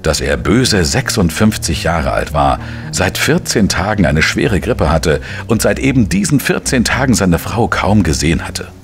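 An actor playing a wry, world-weary journalist who narrates a radio crime drama in a measured, dry manner. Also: male voice, sits low, big pitch swings. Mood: reflective. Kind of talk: scripted first-person crime narration.

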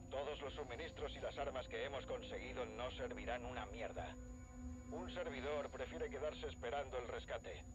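An actor with a Castilian Spanish accent playing a hardened, self-serving survivor leader in a video game, blunt and resentful over a radio. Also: male voice, medium pitch, background noise.

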